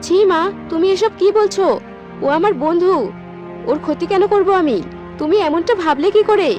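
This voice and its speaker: A woman's voice: extremely high pitch, moderate pace, a little mellow. A woman voicing an earnest, loyal young daughter in a fairy-tale cartoon, speaking emphatically. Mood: indignant. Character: earnest, loyal young daughter who sells pearl necklaces. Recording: faint music under the voice.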